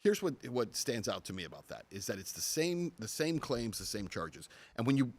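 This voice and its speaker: A man's voice: medium pitch, big pitch swings, moderate pace. A middle-aged man hosting a podcast, making a journalist's case with emphasis and rhetorical questions. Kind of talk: conversational interview commentary.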